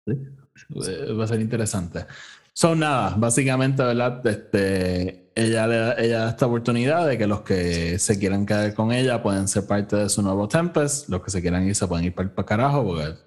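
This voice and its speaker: Low-pitched Puerto Rican man, casual podcast co-host. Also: normal speed, a little mellow. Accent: Puerto Rican Spanish. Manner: informal, mixes English words into Spanish. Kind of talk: casual chat reviewing an audio drama.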